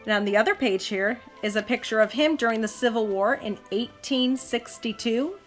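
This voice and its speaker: A woman's voice: on the high side, normal speed, faint music behind. A woman hosting a children's storytime, reading aloud expressively and chatting with questions for young listeners. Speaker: host, mother reading to her child. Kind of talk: read-aloud children's storytime with casual chat.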